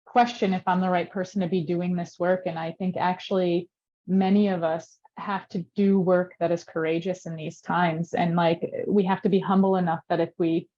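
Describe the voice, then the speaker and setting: Flat-voiced woman moderating a panel. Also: fairly low pitch, normal speed. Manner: thanks speakers, uses like and you know. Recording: slightly dull lo-fi audio.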